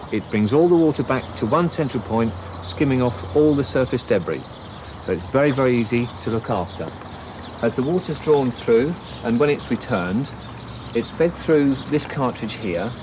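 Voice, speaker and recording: A man giving a measured, matter-of-fact explanation as a company representative in an instructional sales film. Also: medium pitch, noisy background, lightly acted.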